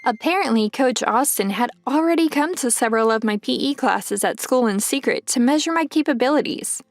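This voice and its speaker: A woman's voice: fairly high pitch, lively intonation, a little fast, soft background music. A woman playing a very tall, insecure young woman turned pro basketball player, narrating her own story in an anime-style dub. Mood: reflective.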